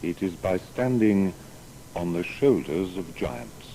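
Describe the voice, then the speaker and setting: An actor playing a modest, reflective older scientist speaking in measured words in an audio biography. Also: male voice, low voice, animated, mellow, a little hiss or hum, full character voice, rough recording. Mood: humble, reflective. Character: Isaac Newton, modest, reflective older scientist, quoting himself. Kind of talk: dramatised biography reading.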